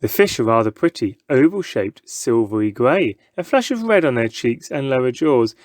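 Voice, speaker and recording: A man with a mid-pitched voice reads a children's story aloud. His scripted narration is dramatic, and the recording is close and dry. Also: sing-song, moderate pace, a little mellow, dry recording. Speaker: story reader. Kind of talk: scripted children's book narration, present tense.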